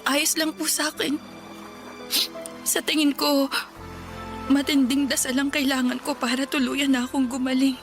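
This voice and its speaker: A woman's voice: high voice, little pitch movement, moderate pace, long pauses, over music. A woman playing a guilt-ridden, withdrawn young woman in a radio drama, speaking politely and confessionally. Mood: resigned. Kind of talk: Filipino radio drama dialogue.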